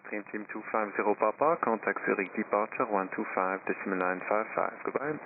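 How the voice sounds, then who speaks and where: A man's voice: on the low side, a little fast. A man working as an air traffic tower controller, giving clipped, procedural instructions full of numbers over a radio transmission. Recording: narrow, staticky air traffic radio channel.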